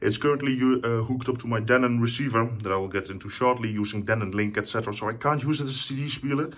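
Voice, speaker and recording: A man's voice: medium pitch, fast talker, a bit bright. A man from the Netherlands with a Dutch accent, casually showing his home stereo system, talking with enthusiasm and many fillers and restarts. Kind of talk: casual home tour vlog. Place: living room at home.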